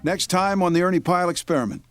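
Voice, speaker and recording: A man's voice: sits high, quick pace, clear, resonant tone, dry recording, close to an everyday voice. A man playing a solemn old-time radio announcer who hands out homely advice. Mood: solemn, folksy.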